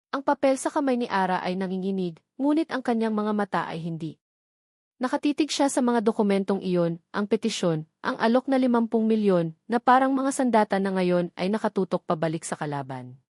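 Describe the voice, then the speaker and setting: A woman's voice: mid-range voice, darkish tone, no echo, clean studio sound. A woman reading scripted dramatic narration of a romantic story.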